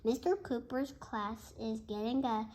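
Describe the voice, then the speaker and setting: A child reading a bedtime story aloud in an expressive, halting way, with asides to listeners. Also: mid-range voice, slight background noise. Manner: expressive, halting, shouts out dialogue. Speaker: storybook reader.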